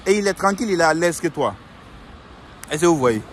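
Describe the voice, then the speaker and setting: A man's voice: fairly high pitch, animated, brisk, slight background noise. A young man giving earnest, repetitive advice in a casual monologue, often restarting his sentences.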